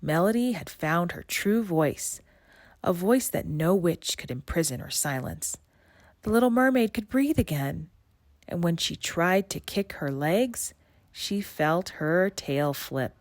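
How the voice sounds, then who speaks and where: A woman narrates a children's story in a low voice. She takes her time, with expressive phrasing and dramatic pauses. The sound is very dry. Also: no echo. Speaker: storybook reader. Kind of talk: scripted read-aloud narration.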